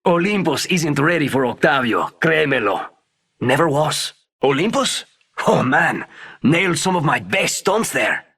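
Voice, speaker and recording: A man's voice: a little high, very animated, a little slow, vocal fry, a bit bright, slightly rough, distant mic, full character voice. A man voicing a brash, impatient young daredevil in a video game, hyperactive and cocky. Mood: cocky excitement. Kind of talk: video game character voice lines.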